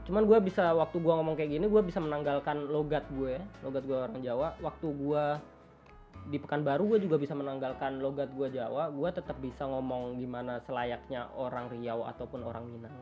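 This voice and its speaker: Man, from Java, podcast host, lively slangy chat, dull sound, soft music behind. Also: mid-range voice, fairly quick. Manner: animated, chatty, slangy. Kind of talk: casual chat.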